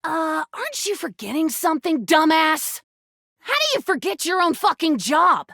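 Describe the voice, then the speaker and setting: A bratty video game character with a very high, animated voice. The delivery is snarky and scornful, in a dry recording. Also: female voice, normal speed, no room sound, heavily acted.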